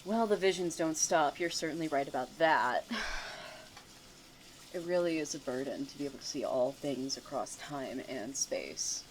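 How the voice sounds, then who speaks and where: A woman's voice: low voice, moderate pace, a little hiss or hum, natural voice, low-quality recording. A woman playing a smug, cryptic student who mocks and needles theatrically in an audio drama. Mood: smug, dry.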